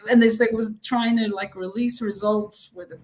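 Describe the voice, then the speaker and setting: A woman from Australia speaking casually as a guest, in a thoughtful, halting way. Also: on the high side, steady tone, a little mellow, slight background noise. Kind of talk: casual conversation.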